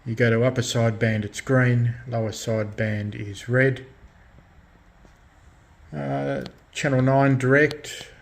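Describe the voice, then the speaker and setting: A man hosting a casual hands-on radio demonstration, talking in a halting way as he thinks aloud. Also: mid-range voice, steady tone, creaky. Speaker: radio restorer and video host.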